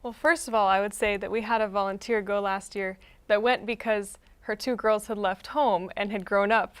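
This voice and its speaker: A woman's voice: mid-range voice, fairly even intonation, moderate pace, a bit bright, clean tone. A young woman, a nurse, answering thoughtfully and in a friendly way as a guest in a faith interview. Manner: thoughtful, friendly, with some hesitations. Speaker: guest, emergency room nurse.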